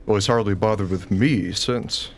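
An actor playing a young schoolteacher husband in a radio play, anxious, dutiful and a little exasperated. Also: male voice, lowish voice, moderate pace, close to an everyday voice. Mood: concerned. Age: young adult. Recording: faint background noise.

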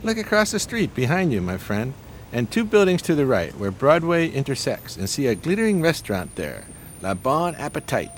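Radio drama role: male actor genteel homeless schemer, grandiose formal phrasing, lively intonation, faint city noise. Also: mid-range voice, moderate pace, close to an everyday voice. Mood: grand, savoring. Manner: pompous, florid, formal diction.

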